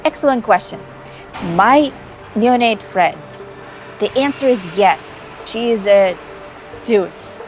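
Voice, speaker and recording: A woman acts out a vengeful, cocky vampire hacker from a visual novel. She talks in a theatrical, condescending way with dramatic pauses, at a medium pitch, over background music. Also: animated. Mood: smug. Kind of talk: amateur voice acting of a visual novel. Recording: music behind the voice.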